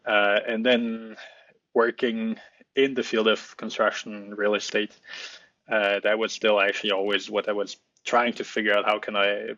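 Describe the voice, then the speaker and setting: A man's voice: on the low side, flat delivery, normal speed, bright, forceful tone, no room sound, muffled recording. A man from France, a guest architect, talking in a hesitant, thoughtful way with many restarts over a remote call. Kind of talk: casual interview talk.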